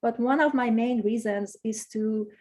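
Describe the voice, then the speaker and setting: High-pitched iranian woman, earnest webinar talk over a video call, lots of uh and restarts. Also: darkish tone. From Iran. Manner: earnest, halting, many hesitations and self-corrections. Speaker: webinar presenter. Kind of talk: academic webinar presentation.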